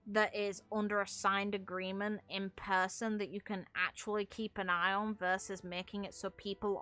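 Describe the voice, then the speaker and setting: Bright-voiced woman giving blunt gaming commentary. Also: mid-range voice. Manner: blunt, informal, mild swearing. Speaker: gaming video creator and streamer. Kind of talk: opinionated casual game commentary.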